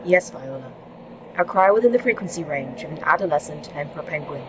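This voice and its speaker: A woman's voice: deep voice, expressive, a little fast, noisy background. A woman playing a terse, literal, pedantic female A.I. in a sci-fi audio drama. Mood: dry, pedantic. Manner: pedantic, formal, inflexible. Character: terse, literal, unflappable female A.I. of a secret facility.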